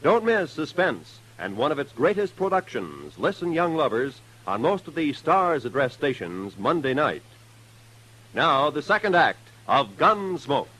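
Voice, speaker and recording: A man's voice: fairly high pitch, sing-song, a little slow, sharp tone, rough recording. A man with an American accent announcing formally in 1950s network radio style, polished and promotional.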